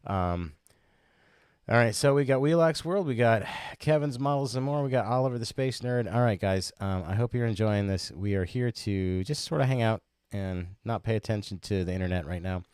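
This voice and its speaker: A man's voice: lowish voice, normal speed, darkish tone, clear, resonant tone, dry recording, clean studio sound. A sniffly man hosting a casual livestream, rambling and chatty with lots of fillers and restarts. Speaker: livestream host.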